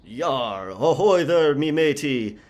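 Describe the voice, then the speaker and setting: A man voicing a knight who poses as a hearty pirate, with an exaggerated stage pirate accent, loud and hammy, in a roleplay audio drama. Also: fairly high pitch, lively intonation, takes it slowly, highs cut off, voice pushed up. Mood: overdone, awkward. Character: knight clumsily posing as a hearty male pirate.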